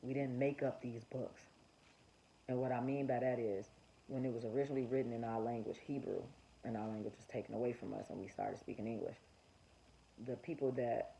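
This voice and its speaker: A man's voice: medium pitch, vocal fry, mellow. A man talking off the cuff in a solo video monologue, circling his points with many fillers and restarts. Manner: rambling, frequent fillers and restarts. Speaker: vlogger sharing religious dreams. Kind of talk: unscripted spoken monologue. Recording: muffled lo-fi recording with faint hiss.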